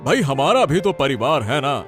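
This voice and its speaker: A man voicing a cautious, loyal village man who talks in a careful, measured way. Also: fairly high pitch, sing-song, fairly bright tone, faint music behind. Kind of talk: cartoon story voice acting.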